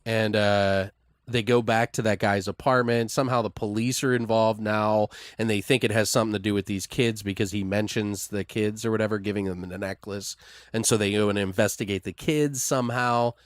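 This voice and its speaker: A man hosting a podcast, casually making up a story aloud in an excitable, loosely profane way. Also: lowish voice, fairly quick, no room sound, studio quality. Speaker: podcast host. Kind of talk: casual improvised story brainstorming.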